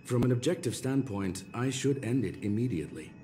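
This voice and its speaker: An actor playing a courteous, rational robot scholar in a video game, calm and regretful, with formal, measured, precise diction. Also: male voice, mid-range voice, faint music behind, close to an everyday voice.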